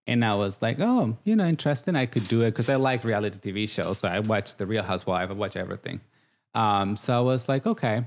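A man's voice: fairly low pitch, animated, quick pace. A man from Guatemala with a Guatemalan Spanish accent, a reality show contestant talking earnestly and emotionally in a casual interview, laughing often.

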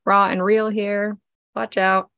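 A woman of forty hosting a podcast, speaking gently and from the heart with many pauses while she gets over a cold. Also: mid-range voice, little pitch movement, gravelly, smooth, dry recording, dull audio. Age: 40s. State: congested, getting over a cold. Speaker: podcast host. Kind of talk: personal reflective podcast talk.

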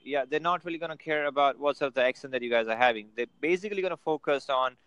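Smooth-voiced man giving advice. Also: a little high, rapid-fire, fairly bright tone, dry recording, highs cut off. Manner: fillers of "you know" and "right?" throughout. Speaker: guest. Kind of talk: casual motivational chat.

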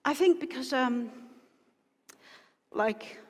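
A woman's voice: sits high, lively intonation, slow, a little mellow. A woman pastor giving an informal, rambling sermon to her congregation, full of asides and restarts. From Wales. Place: church hall. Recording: long hall reverb.

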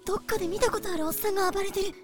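A woman's voice: very high-pitched, steady tone, rapid-fire, music in the background. A woman playing a perky, blunt young ship hand in an anime-style voice drama. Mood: puzzled.

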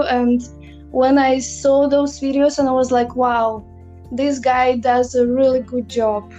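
Eager woman host, fairly high and mellow, over faint music. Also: highs cut off. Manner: eager, enthusiastic. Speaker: podcast host. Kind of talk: casual interview chat.

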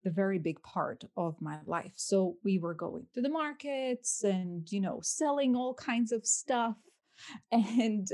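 A woman's voice: mid-range voice, lively intonation, slow pace, a little mellow. A woman in her thirties with a Lithuanian accent, a native Lithuanian speaker, answering interview questions conversationally as a podcast guest and coach. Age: 30s.

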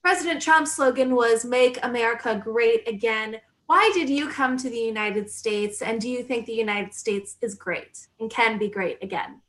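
A woman hosts an interview in a polished, upbeat way. Her voice is bright and slightly high. She speaks like a practiced presenter. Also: normal speed, few pauses. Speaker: host. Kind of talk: interview hosting.

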